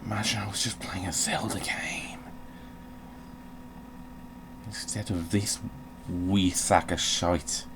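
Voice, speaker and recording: A man's voice: sits low, fairly even intonation, takes it slowly, long pauses. A man giving casual video game commentary, swearing and grumbling jokily as he plays. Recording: clearly noisy background.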